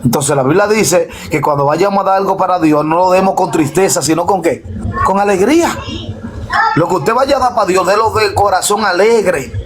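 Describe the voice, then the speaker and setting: A man's voice: high-pitched, moderate pace. A man preaching informally as a pastor in a live discussion, emphatic and repetitive, over noisy live stream audio.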